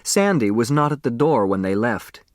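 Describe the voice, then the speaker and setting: Audiobook reader: male, mid-pitched voice, expressive scripted narration of children's fiction, dry studio-like sound. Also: moderate pace, dry recording.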